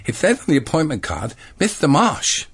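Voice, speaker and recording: A man plays a vain storybook dandy, earnest and plaintive. The voice is raised and husky, with lively swoops as he fusses. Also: fairly high pitch, normal speed, pitched above the performer's own voice, heavily acted. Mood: innocently explaining. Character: John, fussy, vain dandy husband, storybook innocent.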